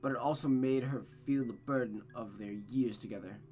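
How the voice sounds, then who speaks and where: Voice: mid-range voice, moderate pace, darkish tone, soft background music. A host reading a visual novel aloud in dramatic narration, stumbling and rereading phrases, with casual asides.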